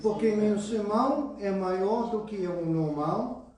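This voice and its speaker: Slow foreign-accented pastor in echoey church. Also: male voice, high-pitched, a little mellow. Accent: English-speaking foreigner speaking Portuguese. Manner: slow, deliberate, careful reading with restarts. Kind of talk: sermon with scripture reading. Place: church hall. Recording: roomy church hall, voice from far off.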